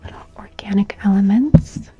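A young woman whispering softly and breathily in an ASMR personal-attention roleplay as an art teacher, soothing and gentle, close to the microphone. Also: mid-range voice, moderate pace, slightly rough, no room sound, lo-fi sound, faint music behind. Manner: soft, soothing, gentle, repeating phrases. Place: small bright plant-filled room indoors. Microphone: close studio microphone with fluffy cover.

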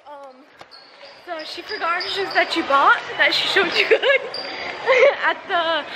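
High-voiced teasing young woman. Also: expressive, very noisy. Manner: teasing, giggly, quick to laugh. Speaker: vlogger, camerawoman. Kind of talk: casual chat. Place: shopping mall, indoors. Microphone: handheld camera at arm's length.

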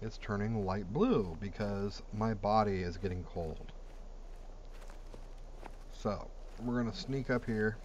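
Low-voiced man gaming over background noise. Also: takes it slowly, pauses often, darkish tone, highs cut off. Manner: blunt, sweary. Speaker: gamer reviewing a game mod. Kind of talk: casual gameplay commentary.

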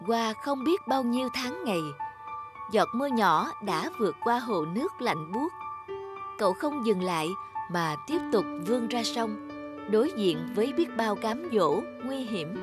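A woman's voice: a little high, very animated, unhurried, over music. Woman narrating a children's cartoon tale. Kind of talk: scripted storytelling narration.

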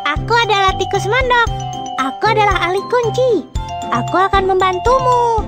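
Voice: very high-pitched, normal speed. An actor voicing a cheerful, helpful little mole in an educational children's cartoon, speaking eagerly in simple phrases. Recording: music behind the voice.

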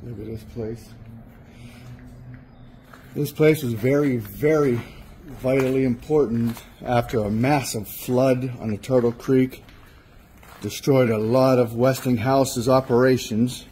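A man's voice: medium pitch, steady tone, slow, mellow. Man, casual explorer narration, plain mid voice, faint background noise. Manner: rambling, self-correcting, plain-spoken. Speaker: urbex vlogger. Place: outdoors and in a large abandoned industrial building.

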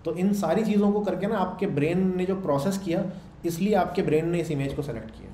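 A man's voice: high-pitched, brisk, mellow. A man teaching a class in a casual lecture style, checking in with his listeners as he explains. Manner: conversational, explains with checks like "samjh rahe ho" and "theek hai". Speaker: programming instructor. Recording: echoey room with faint background hum.

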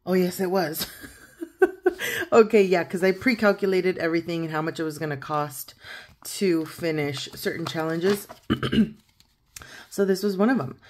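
A woman in her thirties with a croaky morning voice, chatting casually at a home desk and counting money aloud as she fills in savings challenges. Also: fairly low pitch, normal speed, pauses often. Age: 30s. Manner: chatty, counts out loud, laughs easily. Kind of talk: casual chat while counting cash.

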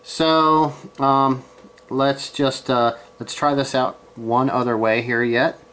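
A man giving a casual, hands-on gear demonstration, halting and unscripted as he thinks aloud from behind the camera in a room at home. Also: medium pitch, steady tone, fairly bright tone, a little hiss or hum. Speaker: amateur radio hobbyist, presenter. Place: small room at home, indoors.

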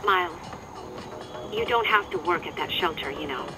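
A woman playing a middle-aged, concerned mother in a video game, speaking gently and with care. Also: low voice, normal speed, music in the background, lightly acted, poor audio. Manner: gentle, caring. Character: tender, concerned mother of a teenager. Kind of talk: naturalistic video game acting.

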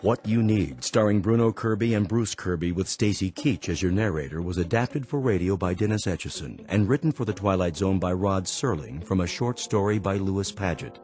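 A man reading program credits as announcer in a steady, formal manner. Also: low-pitched, fairly quick, hardly any pauses. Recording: music underneath.

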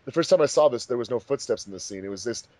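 A man's voice: medium pitch, animated, racing, dark tone, a little hiss or hum. A man chatting casually as a director on a group commentary track.

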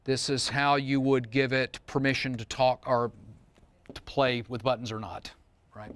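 A man's voice: medium pitch, level tone, measured pace, fairly bright tone, no room sound. Male seminar presenter, middle-aged. Casual, explanatory product walkthrough, dry close sound. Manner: explanatory, plain-spoken, casual asides. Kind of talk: informal technical product demonstration. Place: studio room, seated at demo table among a small group. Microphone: wireless mic, close.

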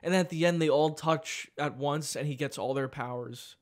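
A man's voice: a little high, moderate pace. A young man hosting a podcast in casual chat, profane and rambling, laughing often. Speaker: podcast host.

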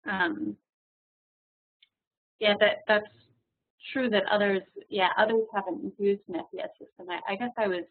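A woman hosts the question part of a webinar, easygoing and filler-heavy. Her voice is a little husky, and it comes through thin and tinny like a phone line. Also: mid-range voice, normal speed, pauses often. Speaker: webinar moderator. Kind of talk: hosting a webinar Q&A.

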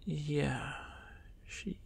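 Gravelly, shy monster boy, acted by a man. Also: a little high, very slow, a little hiss or hum, heavily acted. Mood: hesitant, wistful. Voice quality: gravelly, creaky. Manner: hesitant, stammering, soft-spoken, often dropping to whisper. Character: shy, nervous young male drider, lonely and apologetic. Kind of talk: ASMR fantasy roleplay addressed to the listener.

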